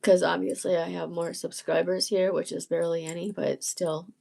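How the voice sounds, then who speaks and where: A woman with a gravelly, husky, croaky voice, strained after illness, chatting casually on a live stream and often clearing her throat. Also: on the low side, flat delivery, normal speed, mellow. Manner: rambling, self-interrupting, frequent throat clearing. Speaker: musician and channel host.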